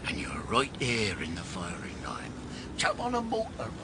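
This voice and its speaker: An actor playing a rambling, testy, hard-of-hearing old man in a radio drama, halting and colloquial. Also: on the low side, huge pitch swings. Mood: rambling, insistent. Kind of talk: British radio drama acting. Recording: loud street traffic noise. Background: passing vehicles.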